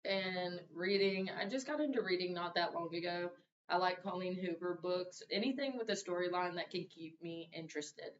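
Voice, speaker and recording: A young woman gives a relaxed, conversational introduction speech to her class. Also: normal speed. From Louisiana and Arkansas. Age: young adult. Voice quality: deep voice. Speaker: student. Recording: roomy, distant, dull mic with faint hiss.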